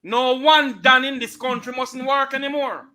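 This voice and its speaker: A Jamaican man hosts an online talk show, cutting in to steer the discussion. His voice sits high and sounds bright and sharp. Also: steady tone, moderate pace. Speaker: host.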